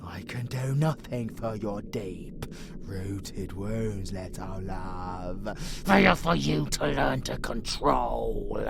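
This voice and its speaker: A menacing vampire abomination for a monster roleplay audio, with a heavily gravelled growl. He speaks very slowly and theatrically, predatory and possessive, sliding from breathy whispers into roars over faint background noise. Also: on the low side, exaggerated voice. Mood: impatient.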